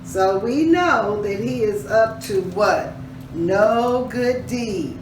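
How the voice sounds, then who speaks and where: A woman reading a children's story aloud in an expressive, teacherly way, pausing to put questions to her listeners. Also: fairly high pitch. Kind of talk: dramatic read-aloud with questions to young listeners. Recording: roomy echo, distant mic, faint noise.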